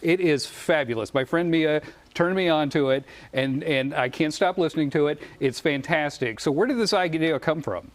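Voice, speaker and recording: A man with a fairly high-pitched voice hosts a morning television interview. He is effusive and conversational, often doubling up on short phrases as he talks. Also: normal speed. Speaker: TV morning show host. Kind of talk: enthusiastic broadcast interview chat.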